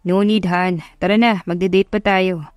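Woman voicing a suave, doting husband, lowish pitch. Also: fairly even intonation, moderate pace, clean tone, no echo, studio quality, soft background music, natural voice. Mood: playfully insistent. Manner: mixes English phrases into Tagalog, tender. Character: doting, teasing young husband, suave and romantic. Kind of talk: audiobook romance dialogue.

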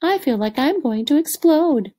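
A woman voicing a practical, homely mother pig from a picture book, with expressive storybook delivery. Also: sits high, moderate pace, pitched above the performer's own voice. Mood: weary, contented.

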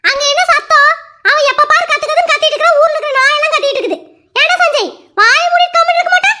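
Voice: very high-pitched, fast talker, noisy background. A performer voicing a short-tempered middle-aged mother who scolds and complains. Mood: irritated. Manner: scolding, exasperated.

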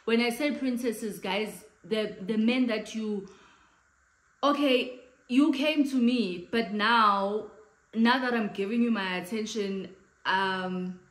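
A woman's voice: fairly high pitch, slow pace, reverberant, rough recording. Chatty South African woman vlogging. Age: late 30s. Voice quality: big voice. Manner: chatty, animated hand gestures, mixes in Xhosa phrases. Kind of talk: casual chat to camera. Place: living room, indoors, in front of a sofa.

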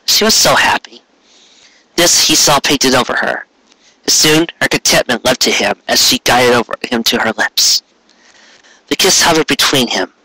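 Male story narrator, bright forceful voice, dry close sound. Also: medium pitch, no room sound, rough recording. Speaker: amateur fan fiction reader. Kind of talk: scripted narration, storybook reading.

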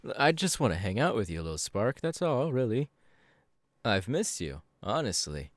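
A man's voice: on the low side, very animated, moderate pace, dry recording, clean studio sound. A man playing a friendly, bashful young hero with a hesitant, playful manner in an ASMR audio roleplay. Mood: sincere, bashful.

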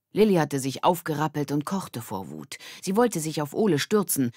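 This is a narrator of a children's audiobook, reading scripted prose. The pitch sits in the middle, and the recording is dry, with no room sound. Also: a little mellow, no room sound.

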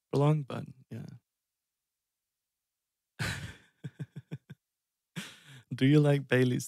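Man, thirties, from Iceland, music streamer, husky, rambling self-deprecating chat, headset mic in a dark room. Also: mid-range voice, no echo, studio quality. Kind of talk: casual live-stream chat.

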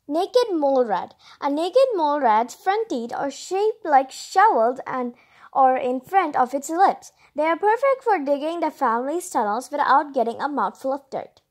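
Female voice, very high pitch, children's read-aloud, expressive, chatty asides, drawn-out emphasis.

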